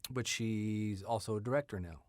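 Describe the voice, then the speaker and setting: A man with a fairly low voice speaks as a guest in a casual interview. His talk is informal and conversational, and the studio sound is close and dry. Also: moderate pace, no echo. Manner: informal, often checks with "you know". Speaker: writer and actor, interview guest.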